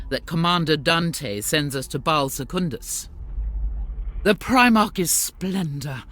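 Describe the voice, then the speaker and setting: An actress playing a devout, zealous warrior nun commander in a video game, narrating in a solemn, formal, resolute manner. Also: female voice, lowish voice. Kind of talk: scripted game cutscene narration.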